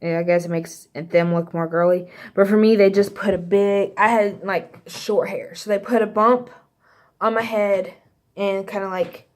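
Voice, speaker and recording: A woman's voice: medium pitch, fairly even intonation, unhurried. A young woman with a slight Texas accent, casually telling a story to camera in a chatty, rambling way with lots of fillers. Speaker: vlogger.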